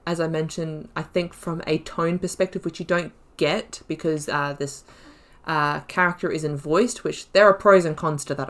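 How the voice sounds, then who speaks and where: Woman, slightly low voice, gaming host, casual commentary, rambling, reflective. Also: fairly bright tone. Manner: chatty, rambling, thinking aloud with repetitions and emphasis. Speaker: host of a gaming playthrough series.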